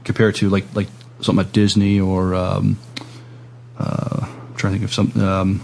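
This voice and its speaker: Male podcast interviewer, even low voice, halting delivery, casual, some background noise. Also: gravelly. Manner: hesitant, halting phrasing.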